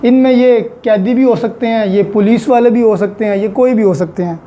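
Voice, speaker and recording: A woman's voice: on the high side, moderate pace, warm tone. A woman with a Pakistani accent gives an explanatory lecture, repeating key points in a didactic way and mixing in English terms. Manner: mixes English terms and phrases into speech. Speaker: law lecturer. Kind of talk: explanatory lecture with worked examples.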